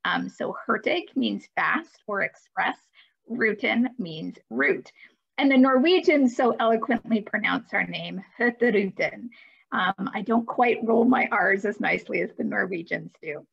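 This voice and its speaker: A woman's voice: mid-range voice, lively intonation, unhurried, vocal fry, breathy edge. A woman giving a friendly, upbeat webinar presentation over a video call, with light hesitations. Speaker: cruise line presenter. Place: home office. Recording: muffled video call audio.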